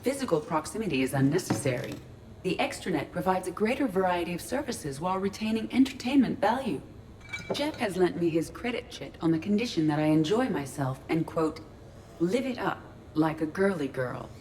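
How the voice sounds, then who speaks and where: Game role, curious literal female AI. Lowish voice, precise formal phrasing, dry delivery, noisy ambience. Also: sing-song, fairly quick, darkish tone. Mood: measured, curious. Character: female ship artificial intelligence in android body, curious, literal, earnest. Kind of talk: video game dialogue acting.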